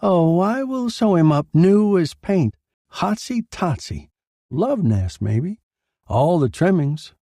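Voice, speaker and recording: Man putting on gleeful high-pitched morbid coroner. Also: very animated, takes it slowly, warm tone, dry recording, studio quality, exaggerated voice. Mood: proud glee. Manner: flippant, relishing, folksy. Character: gleefully morbid male coroner, proud of his work.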